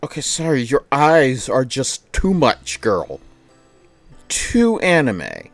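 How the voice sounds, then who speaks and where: A woman reads a visual novel aloud on a livestream, taking her time. Her pitch moves around a lot as she slips into amused, exasperated reactions. Game music plays faintly behind her. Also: huge pitch swings. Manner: amused, exasperated asides between deliberate reading. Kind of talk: livestream playthrough, reading and reacting casually.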